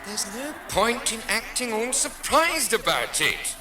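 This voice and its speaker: An actor playing an officious, impatient alien demolition official scolding the public in a science fiction comedy radio drama. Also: male voice, very high voice, sing-song, a little slow, exaggerated voice. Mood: exasperated, scolding. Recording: very noisy archive radio drama clip.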